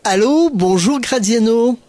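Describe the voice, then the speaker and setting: A man hosting a radio interview, asking brisk, friendly questions. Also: extremely high pitch, lively intonation, warm tone. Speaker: radio host.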